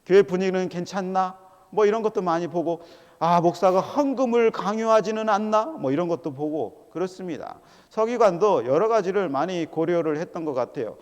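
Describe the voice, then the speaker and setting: A middle-aged man preaches in a church and slips into quoted voices as he goes. His voice sits a little high for a man. It carries with a long hall reverb behind it. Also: a little fast, dull audio. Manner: animated preaching, acting out quoted voices, calling for amens. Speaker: pastor. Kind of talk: sermon. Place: large church sanctuary.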